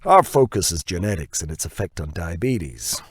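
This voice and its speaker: A man's voice: low-pitched, very animated, moderate pace, breathy edge, dry recording, clean studio sound, exaggerated voice. A man voicing a blunt, greedy American biotech boss in an audiobook, slangy and confrontational. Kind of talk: audiobook character dialogue.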